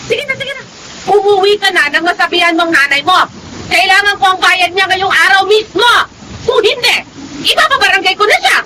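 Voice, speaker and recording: A woman's voice: very high-pitched, expressive, normal speed, background noise. A woman playing an irate storekeeper in a Tagalog radio drama, scolding and contemptuous as she demands payment of debts. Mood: angry, contemptuous.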